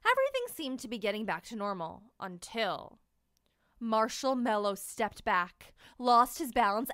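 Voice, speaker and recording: A woman reading a children's storybook aloud as host, in expressive scripted narration with dramatic, drawn-out emphasis on key words. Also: a little high, clear, resonant tone, dry recording, studio quality.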